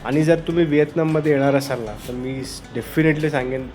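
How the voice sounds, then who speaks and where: A man's voice: on the high side, fairly even intonation, a little fast, darkish tone, soft background music. A man narrating a travel vlog in a casual, chatty and explanatory way. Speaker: travel vlogger.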